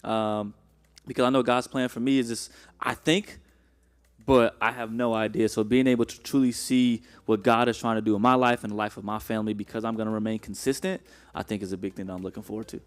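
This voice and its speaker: Brief: man, low smooth voice, thoughtful, restarts a lot. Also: level tone. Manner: halting, reflective, many restarts. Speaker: church member guest. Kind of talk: casual testimony.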